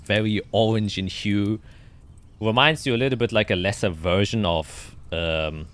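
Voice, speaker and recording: A man improvising narration as game master of a tabletop fantasy game, often pausing to search for his words. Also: sits low, a bit bright.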